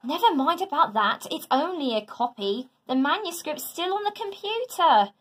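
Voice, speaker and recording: A woman's voice: high voice, sing-song, moderate pace, fairly bright tone, voice pushed up. A woman voicing a caring, practical mother in a children's storybook, questioning and firm yet reassuring. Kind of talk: children's storybook read aloud.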